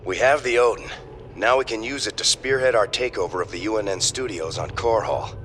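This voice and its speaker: An actor playing a determined rebel military leader in a video game, businesslike and confident. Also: male voice, fairly low pitch, fairly quick, a bit bright, slight background noise, lightly acted. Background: game music.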